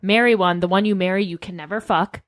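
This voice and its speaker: A woman co-hosting a casual comedy podcast, with an excitable, sweary and playful way of talking. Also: medium pitch, quick pace, no room sound, clean studio sound. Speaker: podcast co-host.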